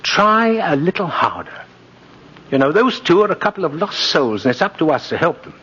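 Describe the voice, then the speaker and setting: An actor playing a kindly, twinkling old gentleman who believes he is Santa, in a 1950s radio drama. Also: a little high, big pitch swings, moderate pace, husky, exaggerated voice. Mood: gently coaxing. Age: older. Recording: dull, noisy vintage radio recording.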